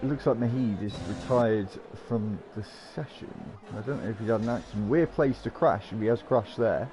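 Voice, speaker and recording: A man's voice: fairly low pitch, brisk, noisy background. A man hosting and commentating an online racing league, chatty and joking, with plenty of "uh" fillers as he introduces the drivers. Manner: chatty, lots of uh fillers, jokey. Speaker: league host and lead commentator.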